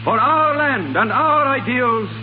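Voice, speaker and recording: A man's voice: extremely high pitch, lively intonation, takes it slowly, full character voice. An actor in a 1930s radio drama playing a solemn, impassioned Irish patriot who declaims to his people in weighty phrases. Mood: solemn, rousing. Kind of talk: 1930s radio acting, public oration. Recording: dull, noisy 1930s radio, long reverb.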